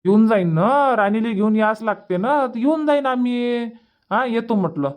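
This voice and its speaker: A man's voice: very high voice, normal speed. A man voicing an easygoing young village son in a cartoon comedy, chatty and colloquial, with a rural Vidarbha Marathi accent. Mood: reassuring, cheerful.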